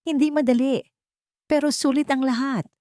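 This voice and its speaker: A woman's voice: high-pitched, steady tone, normal speed, warm tone, dry recording, studio quality, pitched above the performer's own voice, close to an everyday voice. A woman voicing a devoted husband in a romance story, tender and reassuring.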